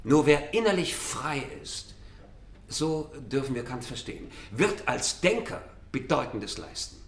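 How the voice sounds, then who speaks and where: An actor playing a self-assured philosophy professor giving a didactic lecture in a radio drama. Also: male voice, medium pitch, very animated, gravelly. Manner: declamatory, didactic, emphatic pauses. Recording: roomy, distant lecture hall, background noise.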